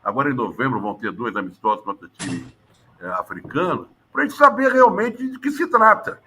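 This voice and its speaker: A Brazilian man giving an exasperated, emphatic opinion in a studio sports debate, with loud bursts and low mutters. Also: big pitch swings, a little fast, a little hiss or hum. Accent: Brazilian Portuguese. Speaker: sports pundit.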